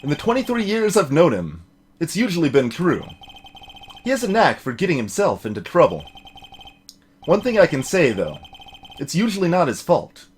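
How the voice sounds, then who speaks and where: A man's voice: a little high, huge pitch swings, moderate pace, long pauses, slight background noise. A man voicing an earnest, nervous young male rookie lawyer in a video game, a little hesitant, over music. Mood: wry narration. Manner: hesitant, stammering. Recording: music playing behind the voice.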